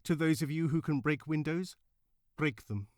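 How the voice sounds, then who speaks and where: A militant suffragette leader addresses a rally, as played by a male reader. The delivery is defiant and angry, pitched up and forcefully declaimed. Every phrase is emphatic, in a dry, close recording of a read-aloud history. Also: normal speed, dry recording, close to an everyday voice.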